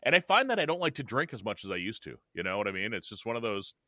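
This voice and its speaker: A man's voice: lowish voice, sing-song, fast talker, bright, forceful tone, no echo. A man in his fifties co-hosting a podcast, chatting casually and often repeating himself. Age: 50s. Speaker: podcast co-host. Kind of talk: casual chat.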